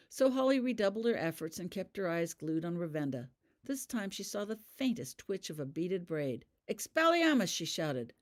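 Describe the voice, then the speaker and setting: Female story narrator, low register, lively intonation, scripted audiobook read. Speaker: author reading their own fan fiction.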